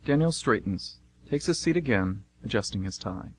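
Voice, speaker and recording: A man's voice: lowish voice, lively intonation, normal speed, a little hiss or hum. A man reading scripted narration for an amateur radio play.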